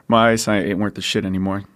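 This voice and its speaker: A man's voice: lowish voice, fairly bright tone, close to an everyday voice. An actor playing a worn-down, scruffy father in his forties, speaking wearily and protectively. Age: 40s. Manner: weary, protective. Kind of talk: audio drama acting.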